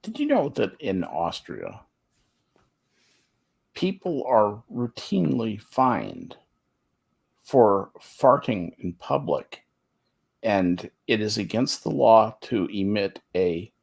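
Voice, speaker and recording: Man, low husky voice, lively intonation, wry, casual panel chat. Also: takes it slowly. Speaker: talk show panelist.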